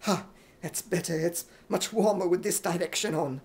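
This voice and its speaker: A man's voice: high voice, normal speed, a little mellow, voice pushed down, lightly acted. A man voicing a blustering, scheming old retired army major in an imitation British accent for radio comedy. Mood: genial bluster.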